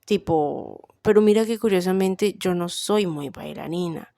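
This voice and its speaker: A woman's voice: on the low side, a little slow, creaky, mellow, dry recording. A young woman with a Colombian Caribbean coast accent, chatting casually about herself and thinking aloud with frequent fillers. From Barranquilla, Colombia. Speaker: vlogger. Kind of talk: casual personal chat.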